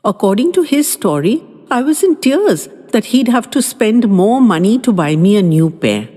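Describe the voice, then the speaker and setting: A woman reading a first-person story aloud in a gentle, reminiscing manner. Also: fairly high pitch, sing-song, normal speed. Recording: long reverb with faint background music.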